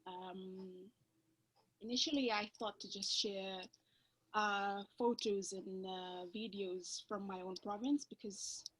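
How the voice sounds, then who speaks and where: A woman with a Fijian accent, a native Fijian speaker, talks through a video call in a panel discussion. She is thoughtful and hesitant, pausing often on filler sounds, with a voice of ordinary pitch. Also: steady tone, husky. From Fiji.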